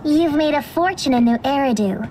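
An actress playing a sly, aloof cat-girl crime boss in an animated game trailer, smug and menacing. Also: high voice, moderate pace, a crowd in the background. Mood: cool, menacing. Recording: loud music underneath.

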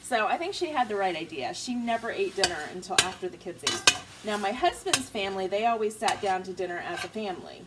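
A woman's voice: mid-range voice, animated, a little fast, very noisy. A woman in her forties chatting easily as she cooks in her home kitchen, recorded on a counter camera. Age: 40s. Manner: chatty, easygoing, laughs at herself. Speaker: stay-at-home mom vlogger. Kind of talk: casual cook-along chat. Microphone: camera on the counter, low angle. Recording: distant mic with loud kitchen clatter. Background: pans sizzling and cutlery clinking.

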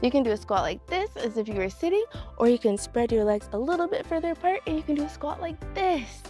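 A woman's voice: high-pitched, sing-song, fast, dark tone, soft background music. A young woman presenting a children's read-along, encouraging and exclamatory, talking directly to her young audience. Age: young adult. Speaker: children's story time host.

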